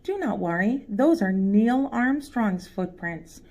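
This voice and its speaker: A woman's voice: medium pitch, lively intonation, slow, a little mellow. A woman voicing a friendly, knowledgeable moon being in a children's storybook, speaking in formal, instructive phrases. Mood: reassuring, explaining. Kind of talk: children's storybook read-aloud character.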